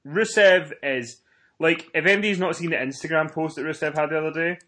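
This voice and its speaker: Male podcast co-host, voice a bit on the high side, informal, emphatic fan talk. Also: fairly quick, sharp tone. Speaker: podcast co-host reviewing wrestling. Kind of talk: casual chat, fan commentary.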